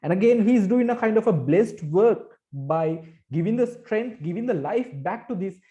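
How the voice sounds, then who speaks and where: High-pitched moderator on a dull video call. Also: male voice, expressive, normal speed, warm tone. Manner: polite, formal introductions with fillers. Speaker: webinar moderator. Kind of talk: moderating a panel.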